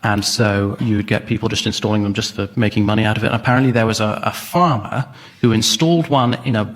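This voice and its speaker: A man's voice: lowish voice, fairly even intonation, fast. A man explaining things in a hesitant, rambling, comic way as a panel show guest. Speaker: comedian panellist.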